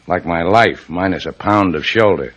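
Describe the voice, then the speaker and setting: An actor playing a tough, laconic, sardonic boat skipper with an American accent, in the style of old radio drama. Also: male voice, deep voice, moderate pace, a bit bright. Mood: wry, suspicious. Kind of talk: 1940s-50s American radio drama acting.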